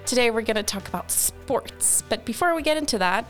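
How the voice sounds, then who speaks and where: A woman's voice: fairly high pitch, animated, a little fast, fairly bright tone, faint music behind. A young woman hosting a student podcast, asking questions and laughing along with guests. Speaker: podcast host.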